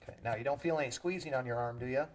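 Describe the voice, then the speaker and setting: A man's voice: medium pitch, very fast, slight background noise. A man working as an EMT, talking a child patient through each step simply and reassuringly. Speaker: EMT with a rescue squad.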